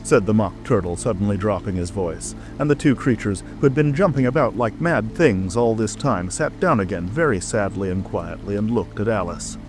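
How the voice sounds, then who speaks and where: A man reads scripted storybook narration as an audiobook narrator. His voice is on the low side, and music plays clearly behind him. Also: lively intonation, moderate pace, few pauses. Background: rain ambience and music.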